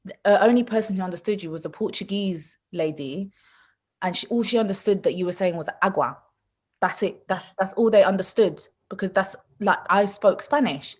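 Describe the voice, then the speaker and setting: A woman born in England, a mother talking in a casual, animated way with lots of asides and restarts. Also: medium pitch, moderate pace, husky. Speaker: mother and podcast guest. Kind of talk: casual conversational chat.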